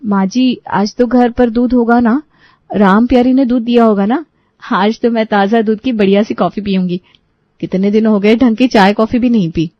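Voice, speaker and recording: A woman's voice: on the high side, steady tone, normal speed, no room sound, close to an everyday voice. A woman voicing a practical, firm young daughter-in-law in a cartoon story, slightly lo-fi. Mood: cheerful.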